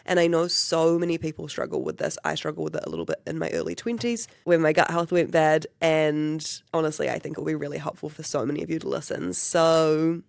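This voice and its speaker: A woman's voice: deep voice, gravelly, dry recording, clean studio sound. A woman hosting a casual podcast interview, sounding nasal from a chest infection, with a New Zealand accent, chatty and bubbly. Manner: bubbly, chatty, many "mm" backchannels. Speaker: podcast host.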